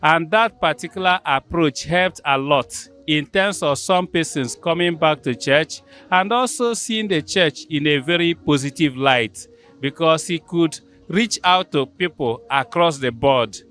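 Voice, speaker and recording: A man, speaking calmly and articulately. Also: fairly high pitch, a little slow, smooth, faint music behind. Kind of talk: discussion.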